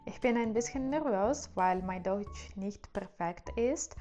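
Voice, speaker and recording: A woman's voice: mid-range voice, unhurried, mellow, soft background music. A young woman whose native languages are Russian and Ukrainian, speaking with an accent in a casual vlog, careful and a little hesitant with fillers. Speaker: vlogger.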